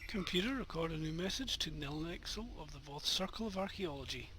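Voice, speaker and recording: A man casually commentating a game as a video host, chatty and relaxed with bursts of enthusiasm. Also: fairly high pitch, fairly quick, slight background noise, rough recording.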